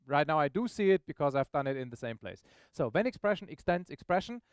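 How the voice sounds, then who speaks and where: Male tech presenter, high clear voice, informal and explanatory, dry close sound. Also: sing-song, fast talker, no room sound. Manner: informal, explanatory, checks in with questions. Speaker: software presenter. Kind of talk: live technical demo to an audience. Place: meetup presentation room, on stage.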